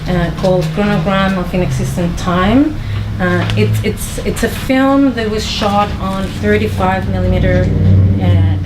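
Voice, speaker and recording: A woman from Chile with a Spanish accent, introducing her films to an audience in a small venue, with many pauses and restarts. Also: medium pitch, echoey room, noisy background. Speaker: filmmaker introducing her screening. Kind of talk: informal talk to an audience.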